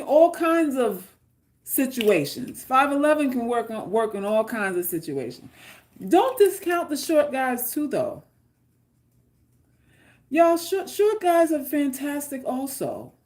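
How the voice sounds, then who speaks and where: A woman's voice: high-pitched, darkish tone. Brash joking woman with a New York accent. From New York, Queens. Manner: blunt, joking, swears freely, quick asides. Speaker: livestream host reading chat. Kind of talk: casual unscripted chat.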